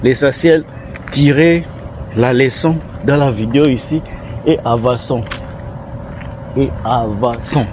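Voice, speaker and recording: A man's voice: mid-range voice, slow pace, pauses often, noisy background. A man speaking French with an African accent, not his first language, giving earnest, repetitive advice to his viewers while vlogging outdoors on a residential street. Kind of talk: casual vlog talking to viewers.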